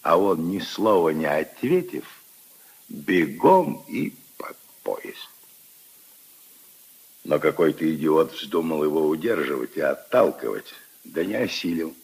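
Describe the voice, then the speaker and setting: Gravelly, husky old Russian-drama grandfather. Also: a bass voice, big pitch swings, takes it slowly, darkish tone, full character voice, rough recording. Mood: vivid, grim. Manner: ruminative, emphatic, intimate storytelling to his granddaughter. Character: kindly old grandfather, opinionated, wistful storyteller of love. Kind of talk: 1950s radio drama acting. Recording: 1950s radio play recording.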